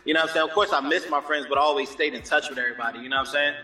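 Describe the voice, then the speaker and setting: A man talking as a guest singer in a relaxed interview, laid-back and repeating "you know what I'm saying". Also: fairly high pitch, very fast, roomy sound, long reverb tail, soft background music, rough recording. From Germany. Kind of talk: casual interview chat.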